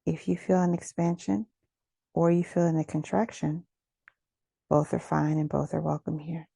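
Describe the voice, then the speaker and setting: A woman leading a guided meditation in a gentle, soothing, unhurried manner, with a soft, breathy voice and pauses between phrases. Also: low-pitched, level tone, no room sound, clean studio sound.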